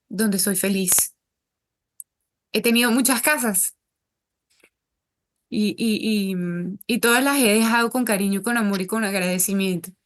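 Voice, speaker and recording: Earnest Colombian woman, interview guest. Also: medium pitch. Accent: Colombian Spanish. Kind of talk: casual interview conversation.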